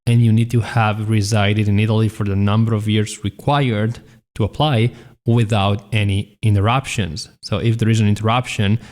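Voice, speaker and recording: A man hosting a talk show, giving measured, explanatory answers as an advisor. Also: lowish voice, a little mellow. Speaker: citizenship advisor host.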